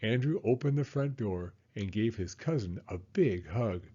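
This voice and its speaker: A man reading a children's story aloud as an animated narrator. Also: fairly low pitch, a little slow, slightly rough, lo-fi sound.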